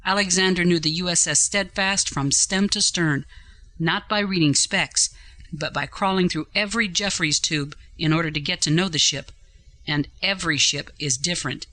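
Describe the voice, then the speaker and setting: A woman acting a starship captain with a bright, forward voice. She is measured and articulate, carrying principled authority in a science-fiction radio play. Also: on the low side. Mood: earnest conviction. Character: composed, principled female starship captain of middle years.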